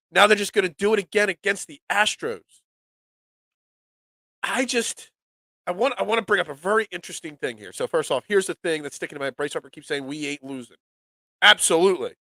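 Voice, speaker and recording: A man hosting a live sports talk show, nasal and congested from a cold, excitable and rambling. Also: high-pitched, gravelly, no room sound. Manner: excitable, rambling, restarts often.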